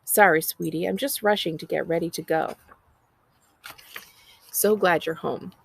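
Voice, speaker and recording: A woman's voice: lowish voice, steady tone, measured pace, gravelly, husky, dry recording, heavily acted. An American woman voicing a busy, nagging but affectionate mother in a storybook read-aloud. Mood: rushed, affectionate. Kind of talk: storybook character reading.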